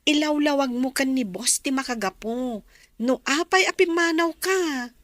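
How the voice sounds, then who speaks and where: High-voiced Ilocano waitress. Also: female voice, unhurried, fairly bright tone, no echo. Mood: worried, questioning. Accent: Filipino, Ilocano. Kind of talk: TV drama acting.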